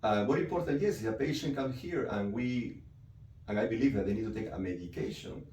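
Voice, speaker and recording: Brief: male doctor, deep mellow voice, recorded far off in an echoey room with faint hiss. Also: a little fast. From Peru. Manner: explanatory, frequent "right?" and "you know" tags. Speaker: psychiatrist. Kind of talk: interview answers. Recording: echoey room, mic from a distance, faint noise.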